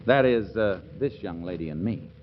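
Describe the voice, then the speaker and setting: An anxious young lawyer in an old radio comedy, formal and deferential. His lowish voice moves expressively as he pleads politely, ringing in a big space on a dull recording. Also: lively intonation, moderate pace. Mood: sheepish, deferential. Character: earnest, deferential young male attorney, anxious to explain. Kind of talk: 1940s radio comedy acting. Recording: muffled, hissy, reverberant 1940s radio recording.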